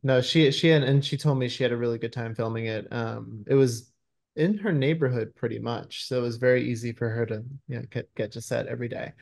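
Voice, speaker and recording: A man's voice: mid-range voice, a little fast, vocal fry, darkish tone. A man in his thirties talking casually as a screenwriter guest in an interview on a video call. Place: room at home, indoors.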